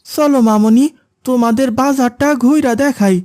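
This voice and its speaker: Woman playing a high-voiced, eager young village man. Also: moderate pace, warm tone, close to an everyday voice. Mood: warm reassurance. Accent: rural Bangladeshi. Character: friendly, grateful, respectful young village man. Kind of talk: cartoon voice acting.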